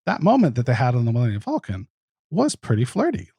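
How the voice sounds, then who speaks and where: Guy guest on a film podcast, medium pitch, informal and musing, dry recording. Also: wildly swooping pitch, quick pace, gravelly, mellow, husky, no room sound. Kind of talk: casual film discussion.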